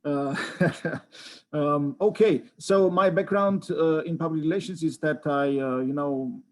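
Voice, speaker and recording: A man from Romania, a Romanian speaker, introduces himself as a professor on a video call from home. He speaks in a measured way with frequent uh fillers. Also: a little high, fairly even intonation. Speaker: associate professor.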